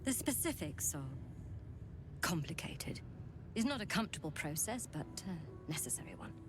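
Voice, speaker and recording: An imperious alien healer from a fantasy game, clinical and condescending. Her voice sits on the low side, with music clearly behind it. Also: big pitch swings, normal speed. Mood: coolly curious. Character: haughty, clinical female alien infirmary healer, coldly curious. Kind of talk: fantasy video game acting.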